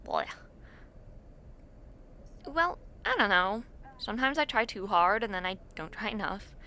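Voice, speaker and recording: A woman's voice: medium pitch, bright, forceful tone, a little hiss or hum. A woman playing an awkward, imaginative young university dormmate in a casual, intimate audio roleplay, chatting in a hesitant, self-deprecating way. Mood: shy, reflective.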